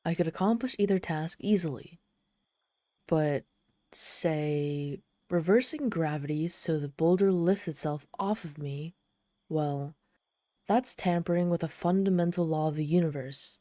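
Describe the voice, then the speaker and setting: Woman voicing a proud, mellow, low-pitched female demon. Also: a little slow, no room sound, clean studio sound. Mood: patient, explaining. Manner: haughty, tsundere, speaking directly to the listener. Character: proud, desperate, socially awkward trapped female demon. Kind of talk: scripted ASMR audio roleplay.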